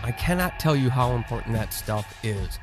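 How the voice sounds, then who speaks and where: A man's voice: mid-range voice, lively intonation, moderate pace, soft background music. A man speaking as a podcast host, giving a scripted thank-you message to listeners.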